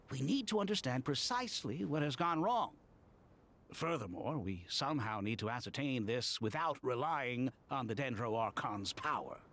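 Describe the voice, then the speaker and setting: An actor playing a thoughtful, precise engineer scholar in a video game, explaining deliberately and expressively. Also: male voice, mid-range voice, gravelly, a bit bright, slight background noise.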